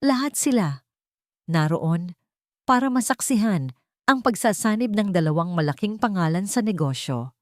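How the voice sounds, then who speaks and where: A woman narrating a scripted romantic drama in deliberate, dramatic short phrases. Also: medium pitch, expressive, no echo, studio quality. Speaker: story narrator.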